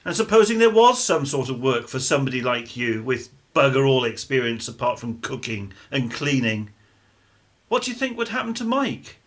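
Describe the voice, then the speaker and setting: A man's voice: medium pitch, sing-song, moderate pace. A man acting in a radio drama as a bitter, argumentative working-class husband and father who distrusts everyone. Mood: exasperated, scornful. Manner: blunt, argumentative, mild swearing.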